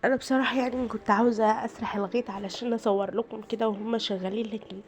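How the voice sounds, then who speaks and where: Woman, rural Egyptian accent, tired voice, rapid talk, cooking vlog in a home kitchen. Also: fairly high pitch, fairly even intonation, a little hiss or hum. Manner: chatty, explains each step to viewers. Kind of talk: casual cooking narration.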